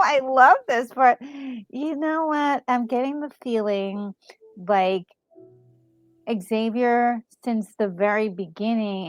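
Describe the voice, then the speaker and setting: Slow-paced woman musing over a game. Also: fairly high pitch, no room sound. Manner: conversational, musing, trailing thoughts. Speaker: gaming video co-host. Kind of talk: casual reaction commentary.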